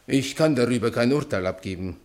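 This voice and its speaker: An actor playing an aloof, condescending chess champion in a radio drama, with a stiff, formal manner. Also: male voice, mid-range voice, lively intonation, brisk, a little mellow, close to an everyday voice.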